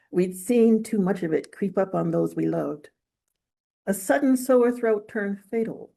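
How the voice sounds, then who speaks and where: A woman reading literary fiction aloud in a measured, expressive storytelling manner. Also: mid-range voice. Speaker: author reading from own novel. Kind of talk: audiobook-style literary reading.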